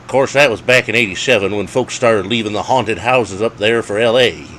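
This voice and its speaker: A man voicing a cranky, hard-of-hearing old rustic who barks folksy talk loudly in a story. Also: lowish voice, fairly quick, slight background noise, close to an everyday voice. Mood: folksy, blustering. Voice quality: loud, folksy bark.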